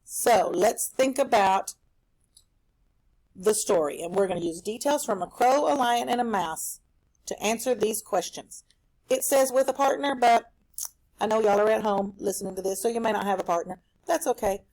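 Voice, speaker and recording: A woman teaching a recorded reading lesson for children at home, explaining a story in an animated, explanatory way. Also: medium pitch, normal speed, low-quality recording.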